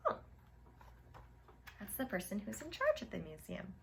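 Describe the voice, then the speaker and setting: A woman reading a picture book aloud to young children, expressive, with chatty exclaiming asides to her listeners. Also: medium pitch, moderate pace, gravelly, slight background noise. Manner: expressive, addresses children, exclaims and reacts to the story. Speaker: children's storytime reader.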